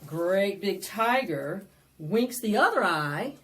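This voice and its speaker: Female children's storytime host, unhurried pace, very lively pitch, sing-song delivery. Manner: sing-song, dramatic, asks children questions. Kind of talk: animated preschool read-aloud with singing.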